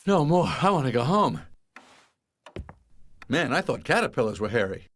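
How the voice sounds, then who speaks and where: An actor playing a young male honeybee in an animated comedy game, neurotic and wry, often exclaiming to himself. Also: medium pitch, normal speed, a little hiss or hum, lightly acted. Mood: weary, fed up.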